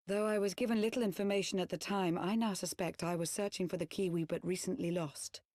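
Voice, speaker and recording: A woman playing a composed, earnest ex-spy turned loyal knight in a video game cutscene, speaking in formal, measured, archaic phrasing. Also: on the low side, fairly even intonation, a little mellow, no echo, clean studio sound, lightly acted. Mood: solemn, confessional.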